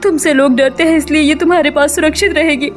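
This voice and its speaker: A woman acts a young mermaid mother from a cartoon, in a very high, plaintive voice. She pleads earnestly over faint music. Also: fairly even intonation, quick pace, natural voice. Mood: pleading. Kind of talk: cartoon story character voice.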